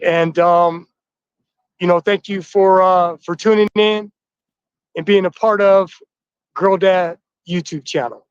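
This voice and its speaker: A man's voice: high voice, steady tone, slow, long pauses, clear, resonant tone, dry recording. A man in his fifties hosting a livestream with a stuffy nose from the flu, speaking in an upbeat, welcoming way to the fathers watching. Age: 50s. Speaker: host of a livestream. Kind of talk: livestream address to viewers. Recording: dull, dry, lo-fi livestream audio.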